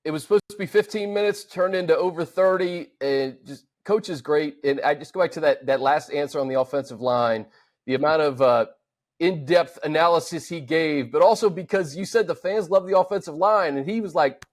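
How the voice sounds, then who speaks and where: A man's voice: on the high side, a little fast. A man hosting a sports show, giving a casual conversational recap.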